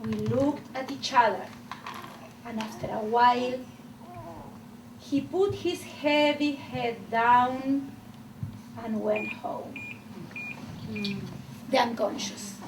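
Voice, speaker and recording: A woman slowly and dramatically reading a dream story aloud, building to near-shouts at its peak. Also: fairly high pitch. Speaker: English learner. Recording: distant mic with loud noise.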